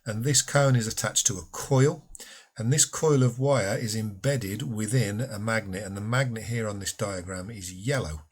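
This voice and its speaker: A man's voice: fairly low pitch, moderate pace, husky. A man speaking as a physics teacher, explaining a school lesson patiently and informally, step by step.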